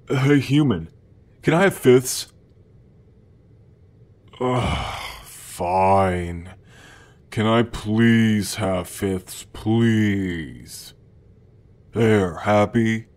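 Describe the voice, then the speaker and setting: Man acting as a hungry demon, playful, grumpy, casual slang, lowish voice, very leisurely pace, ASMR roleplay. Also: darkish tone. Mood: pleading, grumpy. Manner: casual slangy talk with frequent chuckles. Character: gluttonous male demon, playful, childlike, grumpy, always hungry. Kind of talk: ASMR roleplay addressed to the listener.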